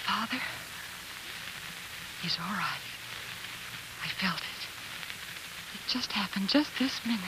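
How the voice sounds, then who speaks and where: An actress playing a devoted, resolute wife of an explorer in a 1940s radio drama, with earnest, refined delivery. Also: female voice, medium pitch, lively intonation, takes it slowly, long pauses, noisy background. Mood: frail, fervent. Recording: vintage 1940s radio broadcast, music underneath.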